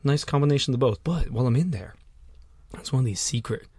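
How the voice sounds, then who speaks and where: A man's voice: medium pitch, quick pace, a little mellow, slightly rough, no room sound, studio quality. A man co-hosting a casual podcast from a small room at home, tired and telling jokey, rambling stories. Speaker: podcast co-host. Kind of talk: casual banter.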